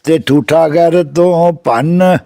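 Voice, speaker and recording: A man's voice: a little high, mellow. A man with a Punjabi accent reciting a folk verse tale in a melodic chant, drawing out the ends of his lines. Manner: chanting, melodic, with long drawn-out line endings.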